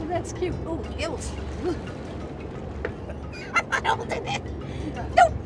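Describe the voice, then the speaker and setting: Casting: middle-aged woman, reality show host, very high bright voice, jokey and loud, recorded out on a boat over loud music. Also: wildly swooping pitch, slow. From New Jersey. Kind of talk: reality show chatter to camera. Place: fishing boat on the ocean, outdoors. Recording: music playing loudly under the voice.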